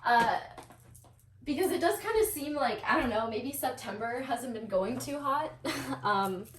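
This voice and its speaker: A woman's voice: sits high, animated, measured pace, a bit bright, a little hiss or hum. A woman with a stuffed-up nose hosting a casual tarot reading, chatty and rambling, with nervous laughs and fillers. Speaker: tarot reader, channel host.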